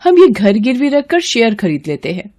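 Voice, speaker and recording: A woman's voice: a little high, very animated, normal speed, a little mellow, voice pushed up. Woman acting a bossy, scornful young daughter-in-law. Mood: scheming, pleased. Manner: scolding, demanding. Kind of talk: moral story voice acting.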